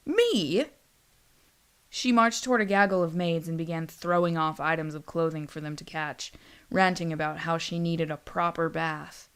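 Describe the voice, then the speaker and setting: A woman's voice: sits low, steady tone, moderate pace, a bit bright, clean tone. A woman storyteller reading scripted fantasy narration in an audiobook manner.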